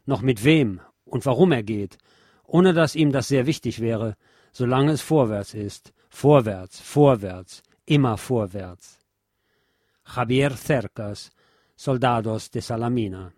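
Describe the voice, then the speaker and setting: A man's voice: mid-range voice, a little mellow, no room sound. Solemn male documentary narrator, dry sound. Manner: measured, solemn literary reading.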